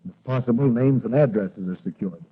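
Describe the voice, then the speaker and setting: Confident young plainclothes policeman played by a man, matter-of-fact, slightly husky, vintage radio serial with narrow muffled sound. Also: mid-range voice, fairly quick, lightly acted. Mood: matter-of-fact, earnest. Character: confident young patrolman explaining rackets, a plainclothes crimefighter. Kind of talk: 1940s radio adventure acting. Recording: muffled, band-limited vintage radio recording.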